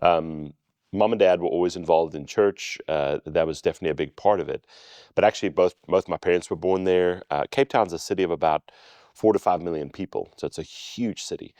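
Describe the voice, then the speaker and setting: Middle-aged pastor, low, unhurried storyteller, dry recording. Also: male voice, no room sound. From South Africa. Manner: relaxed storytelling, joking. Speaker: lead pastor. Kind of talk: casual conversation.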